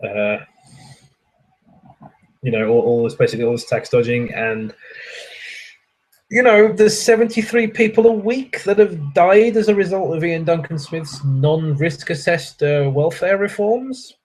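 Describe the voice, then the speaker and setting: A man's voice: fairly high pitch, expressive, a little slow, a little mellow, rough recording. A young man, chatting casually with viewers on a stream, ranting and swearing with frequent laughs. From England.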